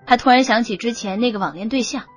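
A woman's voice: fairly high pitch, fast, slightly rough, faint music behind. A woman narrating a romance novel as a scripted audiobook.

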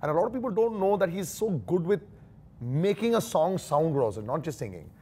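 Man, 30s, show host, playful, very lively pitch, quick delivery. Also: slightly rough. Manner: playful, jokey, mixes English and Hindi. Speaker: interview host. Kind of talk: entertainment interview. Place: small dark studio set, on a sofa.

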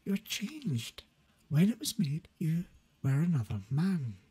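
A man voicing a gentle, sorrowful young woman in a storybook reading, breathy, earnest and formal. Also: fairly high pitch, big pitch swings, measured pace, dry recording, pitched above the performer's own voice, full character voice. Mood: sorrowful resolve.

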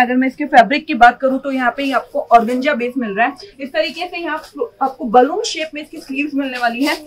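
High-voiced woman pitching clothes. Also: rough recording. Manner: upbeat salesy, repeats "you will get". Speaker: clothing shop presenter. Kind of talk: product showcase sales pitch. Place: indoor clothing showroom.

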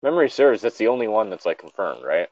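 A man reads aloud casually with a lively, swinging pitch, cutting in with exasperated, incredulous swearing. The recording is dry and slightly dull. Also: fast, no echo. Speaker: co-host reading a story aloud with commentary. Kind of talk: casual reading with incredulous commentary.

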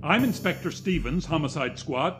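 A blustering American homicide inspector played by a man speaks bluntly in a high-pitched male voice. He is brusque and businesslike, in vintage radio drama style with music clearly behind. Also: lively intonation, moderate pace, lightly acted. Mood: indignant. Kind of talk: 1940s radio acting.

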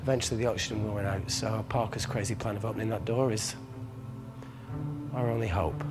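Man acting grim young male scientist, low voice, quick, sardonic, music behind. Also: fairly even intonation, natural voice. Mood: wry resignation. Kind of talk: screen drama acting.

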